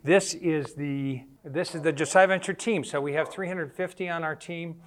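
A man's voice: on the high side, moderate pace. An older man with an American accent, a missionary giving an earnest, conversational talk to a room of teachers. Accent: General American. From United States. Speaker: missionary speaker. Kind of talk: presentation to teachers. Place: room with an audience.

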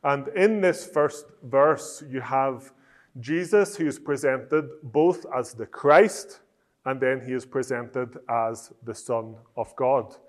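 A man from Northern Ireland with a Northern Irish accent, giving a measured, explanatory teaching talk on preaching. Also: medium pitch. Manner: measured, explanatory, with frequent restarts.

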